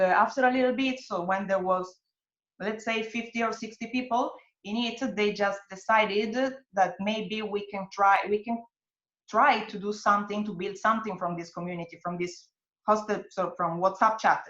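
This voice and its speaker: A woman with an Italian accent talks as a guest on a video call, friendly and pausing to find her words. The sound is muffled and dull, with a hollow roomy ring around her voice. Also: medium pitch, a bit bright. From Italy.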